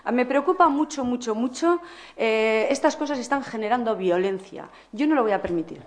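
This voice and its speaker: Council official, woman with a slightly high voice; measured, hesitant delivery while chairing a meeting. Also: animated. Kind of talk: formal meeting remarks.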